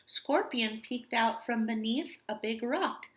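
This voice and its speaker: A woman with a fairly high voice reads a picture book to young pupils as their teacher. She goes very slowly and deliberately, shaping the story with expressive storybook delivery. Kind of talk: children's story read-aloud.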